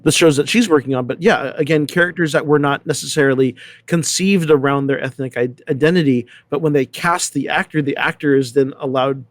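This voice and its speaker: A man's voice: fairly high pitch, no room sound. Man hosting a discussion, dry close sound. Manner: halting, repeats words, thinking aloud. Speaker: discussion host. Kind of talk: casual panel discussion.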